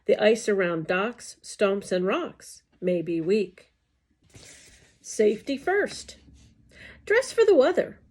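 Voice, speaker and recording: A woman reading scripted educational narration aloud in a deliberate, enunciated way. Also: mid-range voice, very animated.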